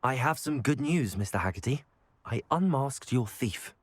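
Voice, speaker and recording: An actor playing an earnest, courteous young student hero in a video game, speaking in a measured way. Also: male voice, medium pitch, lively intonation, no echo, natural voice.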